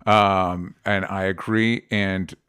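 A man's voice: deep voice, little pitch movement, takes it slowly, fairly bright tone, clear, resonant tone. A man co-hosting a casual podcast, rambling, with frequent restarts and fillers. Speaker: podcast co-host.

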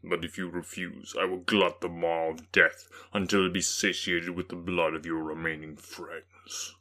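A man voicing a giant, anguished male creature who pleads and threatens in a low, heavy, at times whispery voice. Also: flat delivery, measured pace, sharp tone. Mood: bitter menace. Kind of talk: dramatic audiobook reading.

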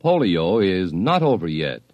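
A man's voice: deep voice, big pitch swings, unhurried, no room sound, lo-fi sound. A man reading a scripted 1950s public service radio appeal in an earnest, emphatic way.

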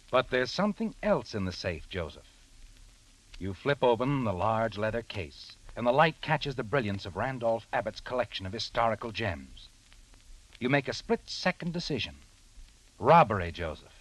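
A man's voice: medium pitch, a bit bright, poor audio. A man narrating a 1940s-style radio crime drama in the second person, dramatic, knowing and ominous. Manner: dramatic, knowing, deliberate phrasing.

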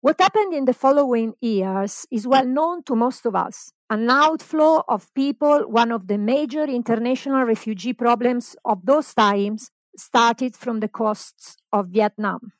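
A woman hosting a podcast, giving a formal, measured introduction read from notes. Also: a little high, no echo. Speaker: podcast host. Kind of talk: scripted introduction and background narration.

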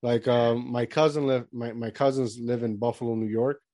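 A man's voice: mid-range voice, steady tone, normal speed, dark tone, no echo, studio quality. A man in his thirties from Puerto Rico, chatting casually and rambling with lots of restarts and fillers. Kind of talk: casual chat.

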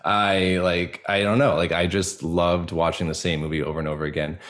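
Male podcast guest with a low voice, casual and informal, feeling his way through a personal story. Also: little pitch movement, a little fast, fairly bright tone.

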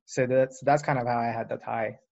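A man from Lebanon, a startup founder, answering interview questions in an unhurried, reflective way with frequent fillers. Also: medium pitch, steady tone, vocal fry, a little mellow. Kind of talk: casual podcast interview. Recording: dull lo-fi audio.

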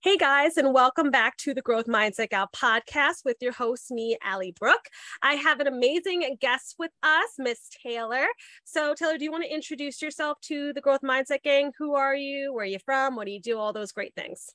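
A woman's voice: high voice, moderate pace, bright, forceful tone, no room sound. Bubbly upbeat woman podcast host. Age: 20s. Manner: bubbly, upbeat, laughs often. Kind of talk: casual podcast interview.

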